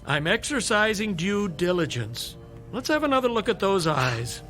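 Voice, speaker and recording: A sardonic, long-suffering older physician for radio drama. His voice is high for a man, and his phrasing is eloquent and drily patient, with faint music underneath. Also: big pitch swings, normal speed, a bit bright. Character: wry, urbane, long-suffering older male doctor.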